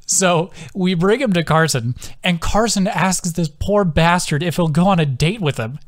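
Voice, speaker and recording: A man playing a gleeful, teasing soldier who tells a raucous story in an excited, informal way. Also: high-pitched, normal speed, no room sound, voice pushed up, lightly acted. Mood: amused, animated.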